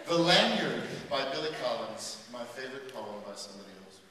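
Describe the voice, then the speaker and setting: A man performing spoken-word poetry for students, dramatic, swinging between loud emphasis and hushed lines. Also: mid-range voice, very animated, a little fast, a crowd in the background. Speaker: performance poet. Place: large school hall with a live audience. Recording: echoey hall recorded from far off.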